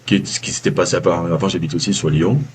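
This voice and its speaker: A man with a Southern French accent, a former baker giving a casual testimonial, halting with many fillers and restarts. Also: sits low, fairly even intonation, a little hiss or hum. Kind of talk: casual video-call testimonial. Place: calling from abroad, early morning.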